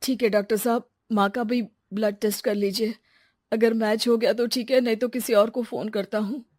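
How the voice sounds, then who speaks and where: Woman doing caring young husband, serious, measured, dry cartoon story audio. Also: on the high side, steady tone, a little mellow, dry recording, natural voice. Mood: concerned.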